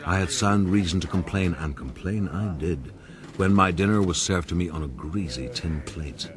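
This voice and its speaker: An actor playing a gentleman recounting his youth in a measured, literary way, as radio drama narration. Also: sits low, lightly acted. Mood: rueful.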